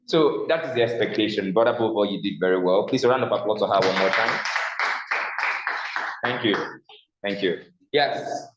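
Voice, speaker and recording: A man's voice: fairly low pitch, moderate pace, pauses often, heavy background noise. Nigerian male instructor in an echoey room. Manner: asks the class questions and answers them himself. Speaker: public speaking instructor. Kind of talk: classroom lecture. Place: classroom. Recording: echoey room, slightly dull sound.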